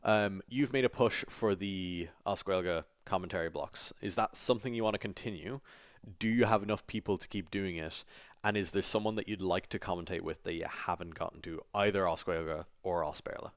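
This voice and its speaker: A man hosting a casual interview, asking questions in a relaxed, friendly way. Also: deep voice, brisk, dry recording, studio quality. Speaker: interviewer, host.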